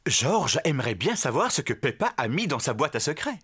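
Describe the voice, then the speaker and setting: A narrator telling a preschool cartoon story in a friendly, expressive, clearly phrased scripted style. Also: medium pitch, fairly quick, heavily acted. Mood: storytelling.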